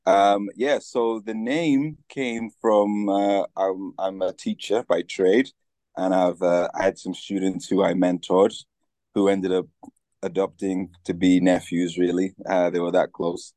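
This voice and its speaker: Deep-voiced relaxed man. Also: a little slow, no room sound. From Tanzania. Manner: easygoing, many fillers and restarts. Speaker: guest. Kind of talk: casual interview talk.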